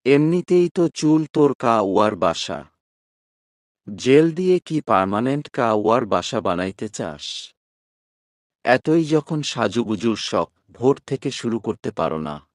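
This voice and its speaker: A man's voice: lowish voice, animated, unhurried, dry recording, clean studio sound. A man voicing a brash young female student who teases and scolds in bossy dialect, in an audio story. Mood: scolding. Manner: colloquial dialect, mocking and bossy.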